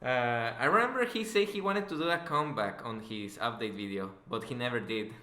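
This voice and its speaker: Bright-voiced joking man, echoey room. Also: mid-range voice, brisk. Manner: teasing, sarcastic. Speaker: podcast interviewer. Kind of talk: casual joking chat. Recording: roomy, echoey voice recording.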